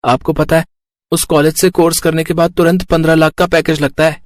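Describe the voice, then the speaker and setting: A man's voice: on the high side, little pitch movement, fairly quick, a little hiss or hum, close to an everyday voice. A man voicing an entitled college-age younger brother in a cartoon story, boyish and wheedling. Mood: sulky, wheedling. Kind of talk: Hindi cartoon moral story acting.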